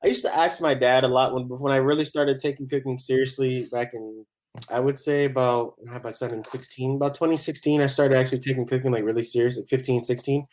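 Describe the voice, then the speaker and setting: A man from Barbados, chatting casually as a chef guest in a relaxed, rambling way. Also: medium pitch, fast. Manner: relaxed, rambling, many "you know" fillers. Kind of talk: casual interview chat.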